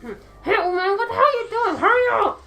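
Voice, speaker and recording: A man's voice: very high-pitched, sing-song, fairly quick, bright, forceful tone, voice pushed up, exaggerated voice. A man voicing an anxious kid in a video game story, calling out urgently.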